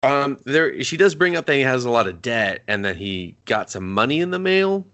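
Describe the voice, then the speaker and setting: A man's voice: mid-range voice, big pitch swings, a bit bright. A man co-hosting a movie review podcast, recapping scenes in casual, joking banter and acting out the characters' lines. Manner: joking, acts out scenes in quick put-on voices. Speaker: podcast co-host.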